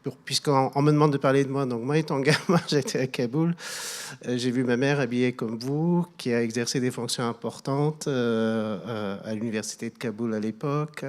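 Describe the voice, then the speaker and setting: A man's voice: mid-range voice, steady tone, normal speed, darkish tone. A middle-aged man from Afghanistan with an Afghan accent, speaking as a guest at a public event. He is earnest and hesitant and often repeats words as he searches for them. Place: hall at a public evening event.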